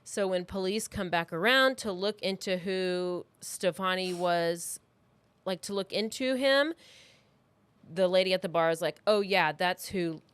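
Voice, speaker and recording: A woman's voice: lowish voice, measured pace, a bit bright, clear, resonant tone, dry recording. A woman in her thirties telling a story casually as a podcast co-host, informal and full of asides. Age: 30s. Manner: informal, loosely retelling with asides and acted-out quotes. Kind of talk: casual true-crime storytelling chat.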